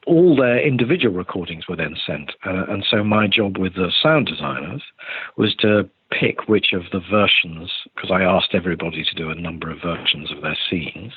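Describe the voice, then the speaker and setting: Low husky older man on tinny line. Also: lively intonation, normal speed. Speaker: theatre director being interviewed. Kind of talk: conversational interview answers. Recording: tinny phone-like line, roomy echo.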